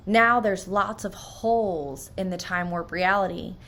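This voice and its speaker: A woman's voice: medium pitch, unhurried, fairly bright tone. A woman from New Jersey with an American accent, sharing personal stories and spiritual reflections in an informal, earnest way. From New Jersey, United States. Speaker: spiritual vlogger.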